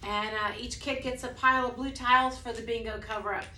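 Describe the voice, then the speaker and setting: A woman's voice: steady tone, fairly quick, sharp tone, slight background noise. Chatty music teacher woman, echoey room. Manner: demonstrates by singing snippets, then speaks casually; says she has a low voice. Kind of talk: explaining a preschool music lesson.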